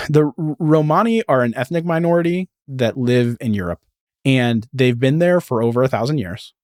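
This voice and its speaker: A man's voice: mid-range voice, expressive, normal speed, a little mellow, dry recording, clean studio sound. A man hosting a podcast, telling stories and explaining history in an informal, digressive way with frequent asides. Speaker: podcast host. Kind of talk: casual explanatory storytelling about film and history.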